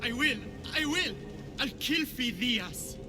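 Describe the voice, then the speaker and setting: A man's voice: very high-pitched, lively intonation, takes it slowly, big-room sound, music in the background, exaggerated voice. A man acting a frightened underling in a video game, pleading and insisting that he can prove himself. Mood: desperate. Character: desperate young male underling, frightened but eager to prove himself.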